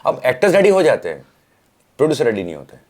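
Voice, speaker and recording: A man's voice: mid-range voice, huge pitch swings, fast, a little mellow. A man chatting casually as an interview guest, blunt, dropping English phrases into his speech. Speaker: interview guest, independent filmmaker. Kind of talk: casual chat.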